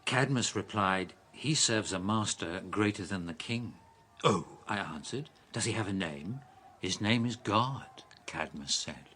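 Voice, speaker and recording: Formal, animated male officer. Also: on the low side, a little hiss or hum. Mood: formal, reporting. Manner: formal, measured reporting. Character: deferential male officer reporting to his king. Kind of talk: radio drama acting.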